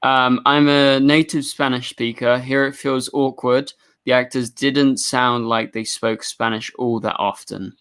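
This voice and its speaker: A man's voice: medium pitch, fairly even intonation, a bit bright, smooth. A man hosting a casual chat show, reading out viewer messages in an easygoing way. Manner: easygoing, quick agreeing interjections. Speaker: show host reading super chats.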